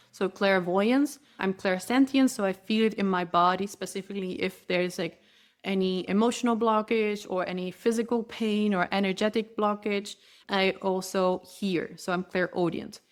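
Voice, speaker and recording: Brief: female interview guest, Spanish native speaker, mid-pitched voice, earnest and flowing, restarts her phrases. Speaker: spiritual guide, interview guest. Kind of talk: podcast interview.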